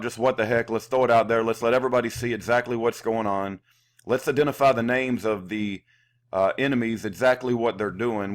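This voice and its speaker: A man hosting an unscripted political commentary, indignant and insulting, halting and repeating himself as he goes. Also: medium pitch. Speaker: video host, activist commentator.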